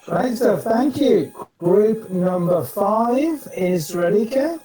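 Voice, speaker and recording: Brisk man hosting in an echoey room. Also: high-pitched, animated, warm tone, a little hiss or hum. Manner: brisk, upbeat introductions. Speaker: host introducing coaches. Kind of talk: online event hosting.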